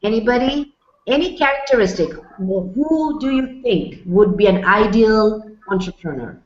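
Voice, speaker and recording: A woman's voice: a little high, animated, measured pace. A woman from Bangladesh in her thirties giving a friendly virtual lecture to students, with many fillers. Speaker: guest lecturer. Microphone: video call. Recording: roomy, dull lo-fi video call.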